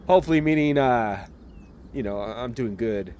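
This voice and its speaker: A man casts an esports match in an easy, chatty way, speaking right to the viewers. His voice sits at a middle pitch and carries a slight cold. Faint outdoor noise hums behind him. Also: moderate pace. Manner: relaxed, chatty, talks straight to viewers. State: getting over a slight cold. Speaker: esports caster. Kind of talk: live esports match commentary.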